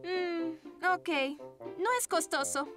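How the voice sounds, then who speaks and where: A very high-pitched teenage cartoon diva, haughty and scheming, with a Latin American accent. She speaks slowly and dramatically, with clear music playing behind her. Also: fairly even intonation. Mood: scheming, evasive. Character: scheming, haughty blonde teenage model girl. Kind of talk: dubbed children's cartoon acting.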